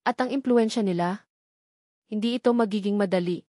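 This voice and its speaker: A wary woman attorney, a cautioning friend, as a female storyteller reads her. The voice is mid-pitched and dramatic in storybook fashion, in a dry recording. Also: brisk, dark tone, no echo, close to an everyday voice. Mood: astonished. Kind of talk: dramatic storybook narration dialogue.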